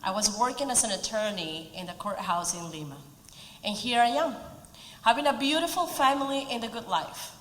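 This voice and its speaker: A woman's voice: a little high, lively intonation, normal speed, fairly bright tone. Peruvian woman speaking in echoing hall. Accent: Peruvian Spanish. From Peru. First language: Spanish. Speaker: speaker at a community event. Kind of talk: prepared speech. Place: event hall. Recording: roomy hall reverb.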